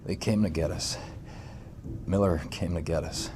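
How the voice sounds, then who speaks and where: An actor playing a defiant, desperate army sergeant under interrogation, speaking in a terse, defensive way in a game cutscene. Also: male voice, low-pitched, fairly even intonation, moderate pace, a little mellow, natural voice. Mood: subdued, weary. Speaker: soldier, a sergeant. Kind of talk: dramatic game cutscene acting. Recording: clear background noise, game ambience.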